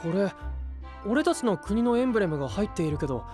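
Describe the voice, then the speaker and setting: Anime audio drama lead: sincere young newcomer knight, polite but excitable, boyishly high, faint music behind. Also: male voice, quick pace. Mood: earnest. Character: earnest, admiring young male knight, a newcomer.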